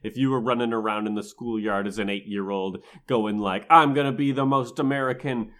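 Male co-host, mid-pitched, for casual gaming podcast chat; slangy, joking storyteller. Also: brisk, a bit bright. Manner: jokey, slangy retelling. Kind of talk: casual chat recapping a video game story.

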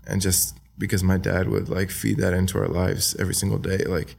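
A young man from Australia with an American accent, talking softly and hesitantly as a podcast guest, with many fillers. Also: low voice, steady tone, a little fast, gravelly, breathy edge. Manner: soft-spoken, hesitant, many ums and likes. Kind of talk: casual interview talk.

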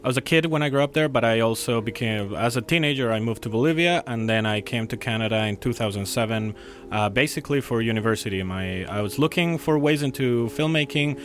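A man's voice: mid-range voice, fairly quick, soft background music. A man in his thirties from Colombia, speaking English as a filmmaker guest. He is friendly and chatty, rambling a little and reaching for words with fillers. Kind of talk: casual interview chat.

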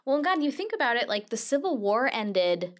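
A woman's voice: on the high side, big pitch swings, fairly quick. A woman co-hosting a casual podcast, reacting with enthusiasm and sarcastic quips. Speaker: podcast co-host. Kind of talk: casual chat.

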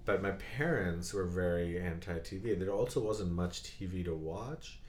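A man's voice: sits low, a little slow, echoey room, a little hiss or hum. A man, raised in Bangladesh and Saudi Arabia, chatting casually on a podcast and asking follow-up questions. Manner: thoughtful, restarts sentences, asks follow-up questions. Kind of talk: casual chat.

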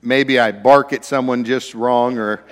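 A man preaching a sermon, conversational and self-deprecating, with a naturally loud voice. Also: medium pitch, steady tone, measured pace, in a large hall. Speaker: pastor.

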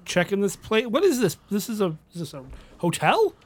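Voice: mid-range voice, big pitch swings, moderate pace, slightly rough. A player in a tabletop game, asking blunt, short questions. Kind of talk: casual in-character play.

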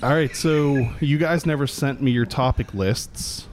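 A man's voice: medium pitch, measured pace, a little mellow, a little hiss or hum. A man hosting a podcast, chatting with friends in a casual, joking way and teasing them drily. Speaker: podcast host. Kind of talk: casual banter among friends. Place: room with several people around mics.

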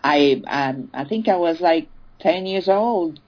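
A woman's voice: fairly low pitch, lively intonation, slow pace, muffled recording. A woman from Italy, chatting as an interview guest and laughing often. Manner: chatty, laughs often, many "you know"s. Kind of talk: casual interview.

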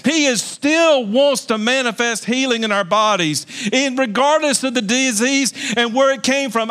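Fifties male pastor, emphatic sermon voice pitched high, bright forceful edge. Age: 50s. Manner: emphatic, repetitive preaching with jokey asides.

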